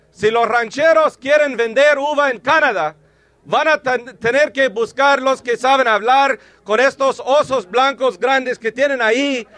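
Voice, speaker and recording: Rousing high-voiced man. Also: dry recording. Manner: rousing, shouting slogans. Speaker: union organizer giving a rally speech. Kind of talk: rally speech.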